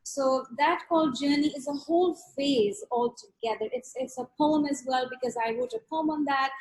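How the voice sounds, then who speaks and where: A woman from Pakistan with a high voice talks about her artwork over a video call. She is earnest and reflective and repeats words to stress them. The sound comes through a call connection. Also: normal speed, darkish tone, low-quality recording. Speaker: artist. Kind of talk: reflective interview talk.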